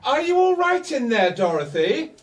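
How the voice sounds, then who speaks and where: An actress playing an anxious backstage helper calling through a door in a radio play. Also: female voice, high voice, sing-song, moderate pace, loud music behind the voice. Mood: anxious, urging. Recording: distant from the microphone.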